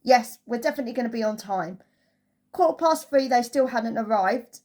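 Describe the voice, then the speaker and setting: A woman's voice: a little high, animated, a little fast, darkish tone. A woman giving a casual, chatty holiday review as a travel vlogger, telling it through anecdotes.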